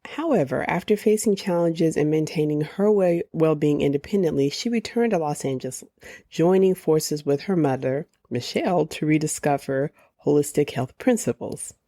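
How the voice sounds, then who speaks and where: A woman hosting a podcast, reading a slow, deliberate introduction before her interview. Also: deep voice, dark tone, no echo, studio quality. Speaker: podcast host. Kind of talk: scripted intro, then interview questions.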